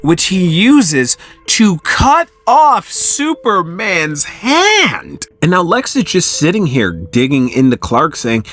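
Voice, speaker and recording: A man hosting a recap in casual commentary, telling the story in an animated, conversational way. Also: high-pitched, slow, faint music behind. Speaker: host of a comic book recap channel.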